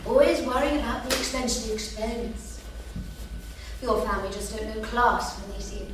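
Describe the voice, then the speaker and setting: High-voiced, flamboyant actress as defiant wife, echoey. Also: female voice, normal speed, lightly acted. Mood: defiant, hurt. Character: Isabel, flamboyant, reckless, defiant wife and mother. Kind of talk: staged screenplay reading. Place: theatre stage, live reading. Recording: distant, very echoey theatre with noise.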